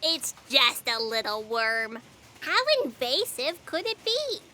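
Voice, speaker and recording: A woman's voice: a little high, big pitch swings, slow, bright, forceful tone, full character voice. A woman playing an eager, insistent young office worker in a comic audio drama, with faint background noise. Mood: flippant.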